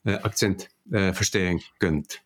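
A man's voice: deep voice, fairly even intonation, measured pace. A middle-aged man from Finland with a Finnish accent, answering interview questions hesitantly with many fillers. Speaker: guest, managing director.

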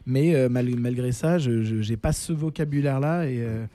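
A French man, a musician guest on a radio show, speaking thoughtfully with many pauses and restarts. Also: mid-range voice, dark tone. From France. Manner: thoughtful, hesitant, halting. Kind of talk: casual interview. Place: radio studio.

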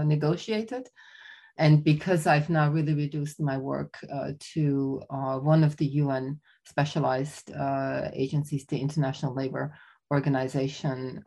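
An older woman with a low, steady voice, speaking thoughtfully in an interview. She often pauses to gather her thoughts and restarts her sentences. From Austria. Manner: thoughtful, many fillers and restarts. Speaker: guest, professor emerita. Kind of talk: conversational interview.

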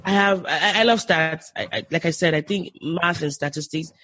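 A woman's voice: deep voice, moderate pace, a bit bright, slight background noise. A Nigerian woman with a Nigerian accent, a podcast guest talking eagerly in casual chat, often restarting her sentences. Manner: enthusiastic, with frequent restarts.

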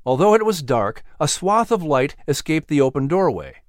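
A man narrating a scripted story as an audiobook, in a measured, expressive storytelling manner. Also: on the high side, no echo, studio quality.

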